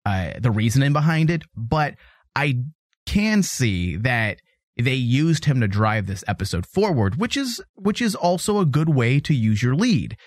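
A man's voice: mid-range voice, sing-song, measured pace, dry recording, studio quality. A man co-hosting a casual podcast, chatting animatedly and teasing his co-host, laughing easily.

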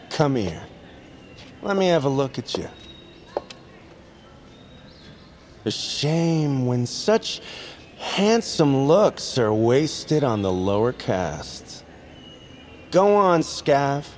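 A man's voice: mid-range voice, a little mellow, a little hiss or hum. An actor playing a haughty, condescending male bird-folk guard who gives orders in an audio drama. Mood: smug. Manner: condescending, slow, commanding. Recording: faint music behind the voice. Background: faint music.

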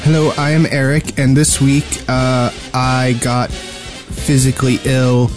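A man's voice: mid-range voice, flat delivery, slow pace. A man co-hosting a podcast in casual, joking chat. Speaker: podcast co-host. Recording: prominent music behind the voice. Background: instrumental music.

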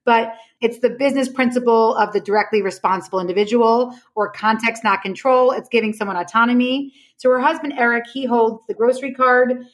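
Higher-voiced woman telling stories to explain. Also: steady tone, fairly quick, few pauses. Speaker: podcast guest explaining her relationship system. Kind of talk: conversational explanation with storytelling.

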